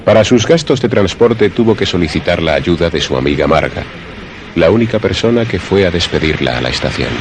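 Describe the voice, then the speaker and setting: A man narrating a scripted suspense radio drama in a measured, literary, theatrical manner. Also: low-pitched, lively intonation, a little hiss or hum.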